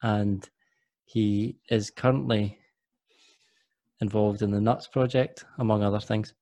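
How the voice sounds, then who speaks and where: A man's voice: lowish voice, fairly even intonation, takes it slowly, warm tone, no echo. A man hosting a podcast interview, chatty and jokey, often restarting his sentences. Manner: rambling, self-correcting, jokey. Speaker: podcast host.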